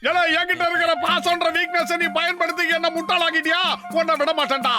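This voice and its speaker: A man voicing an excitable, big-hearted and gullible cartoon hero in an exclamatory, sentimental way. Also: extremely high pitch, quick pace. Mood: indignant. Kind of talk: animated cartoon dub voice acting. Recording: loud music under the voice.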